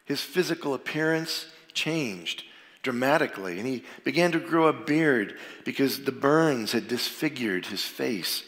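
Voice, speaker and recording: A man's voice: mid-range voice, lively intonation, unhurried, a bit bright. A male pastor getting over a cold, sounding a little froggy, giving an informal sermon and prayer with frequent fillers. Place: church sanctuary. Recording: long reverb of a large hall.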